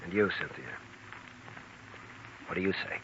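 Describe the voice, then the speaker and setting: A man's voice: low voice, a little slow, fairly bright tone. An actor playing a wronged, earnest and embittered man in a 1940s radio drama, urgent and clipped. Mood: bitter, resigned. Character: wronged, indignant man, earnest and increasingly bitter. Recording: muffled, hissy old radio recording.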